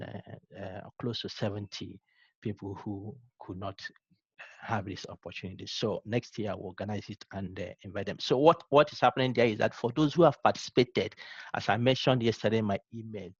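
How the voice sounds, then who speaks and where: A Nigerian man teaching an online course, giving unhurried closing remarks full of ums and uhs. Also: lowish voice, fairly even intonation, creaky, slightly rough, dry recording, muffled recording. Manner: unhurried, thinks aloud with many ums and uhs. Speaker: course instructor.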